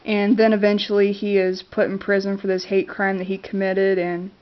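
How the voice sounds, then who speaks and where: A woman's voice: mid-range voice, flat delivery, moderate pace. A congested woman with a cold talks casually to her camera about movies she loves, hesitant and full of fillers. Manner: hesitant, many fillers and restarts. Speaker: vlogger reviewing favorite movies. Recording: dull lo-fi camera audio.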